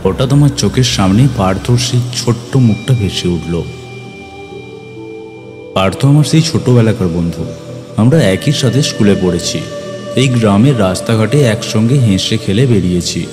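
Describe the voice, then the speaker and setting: A man playing an earnest, caring village doctor who narrates his own ghost story in a dramatic, expressive way. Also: fairly low pitch, normal speed, natural voice. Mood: suspenseful narration. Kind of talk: dramatic first-person horror story narration. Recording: loud music behind the voice. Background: music.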